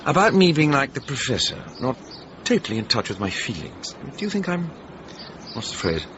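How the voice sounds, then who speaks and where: Actor as a fussy English gentleman, lively pitch. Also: moderate pace, close to an everyday voice. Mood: awkward, tender. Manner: hesitant, proper, slightly pompous. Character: fussy, buttoned-up English gentleman neighbour, awkwardly fond. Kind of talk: radio drama acting. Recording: slightly dull, clearly noisy background.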